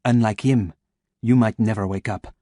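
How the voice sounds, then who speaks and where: A man voicing a cold, commanding female agent with a soft, purring French accent, read in a dry, close recording. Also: fairly low pitch, fairly even intonation, normal speed, dry recording, lightly acted.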